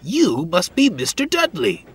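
Man doing a high, chipper, polite railway wagon. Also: huge pitch swings, unhurried, a bit bright, husky, heavily acted. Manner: chipper, courteous.

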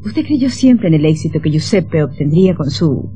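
A woman's voice: sits low, lively intonation, normal speed, mellow, highs cut off, soft background music. A woman playing a devoted, anxious twenty-year-old daughter in an old radio drama, earnest and melodramatic. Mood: admiring.

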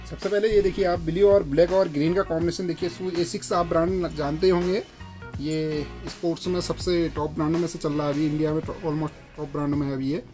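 Man in his 30s, shopkeeper, slightly high voice, eager repetitive sales patter, music under the voice. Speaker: shoe shop owner. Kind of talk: product showcase sales pitch. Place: indoor shoe shop with stacked boxes.

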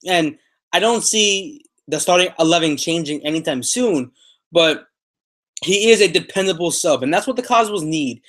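A man's voice: a little high, expressive, moderate pace, a bit bright. A young man hosting a soccer podcast, talking unscripted to a webcam in a room at home and repeating phrases for emphasis. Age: young adult. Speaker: sports podcast host. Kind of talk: unscripted soccer commentary to camera. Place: small room at home, indoors. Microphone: webcam or laptop at arm's length.

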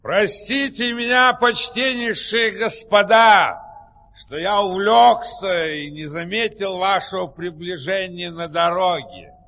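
An actor playing a polite, eager fairy-tale windmill blower in a ceremonious, theatrical audio-play manner. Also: male voice, high-pitched, takes it slowly. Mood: polite, proud.

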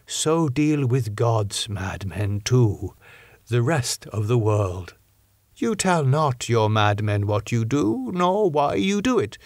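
A man voicing a grave, kindly old doctor in an audiobook, speaking slowly in quaint broken grammar. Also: mid-range voice, no echo, studio quality. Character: old Dutch doctor, grave, kindly, teacherly, parable-loving.

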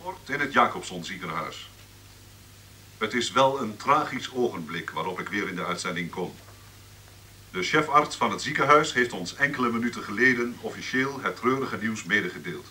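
An actor playing a solemn radio reporter on location in a radio drama, reporting gravely and dramatically. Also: male voice, lowish voice, expressive, normal speed, bright, forceful tone, recorded from a distance, a little hiss or hum. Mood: grave, moved.

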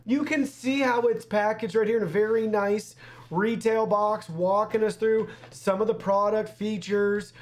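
A man's voice: high voice, fairly even intonation, unhurried. A young man presenting a product review in an upbeat, presenterly way in a small studio. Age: young adult. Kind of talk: scripted product review to camera.

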